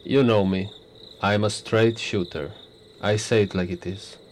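A man's voice: lowish voice, steady tone, measured pace, slight background noise, natural voice. An actor playing a blunt, self-satisfied prime minister in his sixties, wry and teasing, in a radio drama. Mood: self-assured. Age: 60s. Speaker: politician character.

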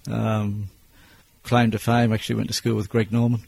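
Australian business owner in his 60s, low flat delivery, plain-spoken, measured. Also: gravelly, a little hiss or hum. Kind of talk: interview answers.

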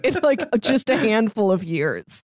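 A woman's voice: medium pitch, sing-song, a little slow, no room sound, clean studio sound. A woman co-hosting a podcast, giving a casual, conversational review and recap. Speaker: podcast co-host.

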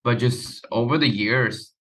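A man's voice: mid-range voice, a little slow, fairly bright tone, low-quality recording. A man from Taiwan, a guest chatting casually in an interview. His phrasing is loose and sprinkled with "you know". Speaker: guest, marketing professional.

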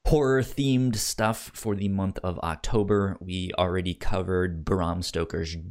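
A man's voice: low voice, steady tone, a little slow, darkish tone. A man co-hosting a podcast in casual review chat, often stammering and repeating words and syllables. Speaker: podcast co-host.

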